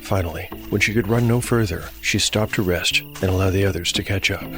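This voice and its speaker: Deep-voiced male fantasy narrator over music. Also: fairly quick, rough recording. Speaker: podcast host, game master and narrator. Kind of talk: scripted dramatic fantasy narration. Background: music bed.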